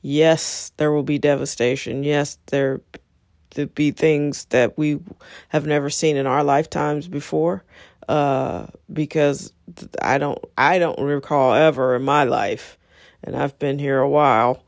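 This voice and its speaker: A woman's voice: sits low, steady tone, a little slow, no room sound. Casting: middle-aged female talk host, lightly scratchy, conversational and encouraging, recorded dry. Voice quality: a little scratchy. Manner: conversational, encouraging, rambling asides. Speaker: show host. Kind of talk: casual solo talk to listeners.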